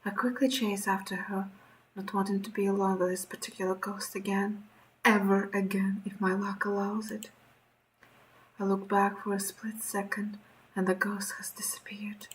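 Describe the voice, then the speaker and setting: A woman streaming a visual novel, reading the narration aloud and reacting in an expressive, sweary way. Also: medium pitch, moderate pace, slight background noise, low-quality recording.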